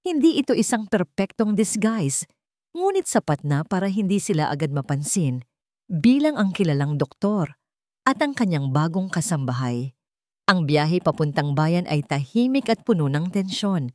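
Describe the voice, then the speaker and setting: A woman's voice: on the low side, a little mellow, no echo, clean studio sound. A woman narrating a scripted dramatic story.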